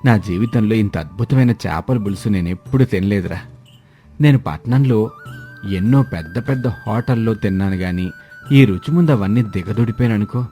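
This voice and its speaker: A hearty, rich older uncle, played by a man, effusive and emphatic. His storybook voice is low and very mellow. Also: moderate pace, soft background music, natural voice. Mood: delighted.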